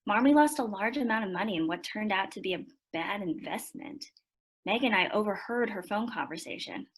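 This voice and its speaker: A woman's voice: mid-range voice, lively intonation, a little fast, slightly rough. A woman playing an earnest teenage girl who narrates a family radio play. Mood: reflective storytelling.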